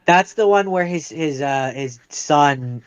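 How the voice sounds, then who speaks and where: A man's voice: fairly high pitch, slow pace, smooth, dry recording, dull audio. A man co-hosting a podcast, talking casually about films. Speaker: podcast co-host. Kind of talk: casual chat reviewing movies.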